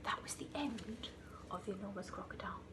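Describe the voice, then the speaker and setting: A woman reading a children's story like an infant school teacher, expressive and with dramatic emphasis. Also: medium pitch, a little fast, whispered.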